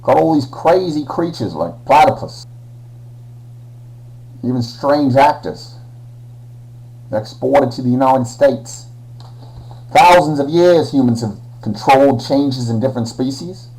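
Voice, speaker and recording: Male presenter, put-on Australian accent, unhurried delivery, dark tone. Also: medium pitch, expressive, poor audio. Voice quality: slangy Aussie put-on, drawling. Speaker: educational presenter. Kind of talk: playful science lesson.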